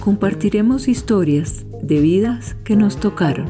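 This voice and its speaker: A woman's voice: mid-range voice, expressive, unhurried. A Colombian woman reading scripted narration as the host of a podcast. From Cali, Colombia. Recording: music behind the voice. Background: music.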